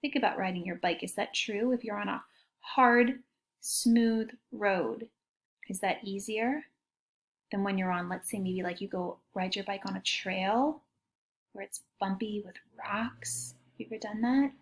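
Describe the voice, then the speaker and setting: A woman teacher reading a picture book aloud to children in an expressive way, pausing to ask them questions. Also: medium pitch. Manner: expressive, child-directed, often asking questions.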